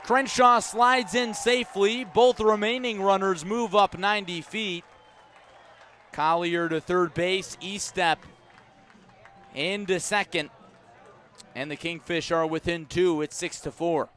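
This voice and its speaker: An American man doing live baseball play-by-play, measured and descriptive between pitches and emphatic on the action, speaking from a ballpark press box. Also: fairly even intonation, fairly bright tone, a faint crowd. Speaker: baseball play-by-play radio announcer. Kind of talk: live sports commentary.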